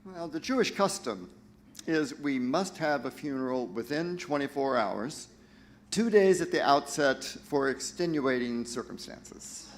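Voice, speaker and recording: A man's voice: medium pitch, lively intonation, a little slow, a little hiss or hum, natural voice. A man playing an earnest, admonishing peacemaker disciple in a dramatic reading for a church play. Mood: measured, concerned.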